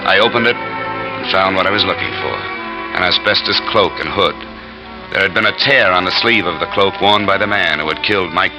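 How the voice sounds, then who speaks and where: A man's voice: sits low, natural voice, low-quality recording. An actor playing a wry, hardboiled insurance investigator who narrates his case in an old radio detective show. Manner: clipped, dry, wisecracking. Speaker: narrator and lead. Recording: old radio broadcast with music under it.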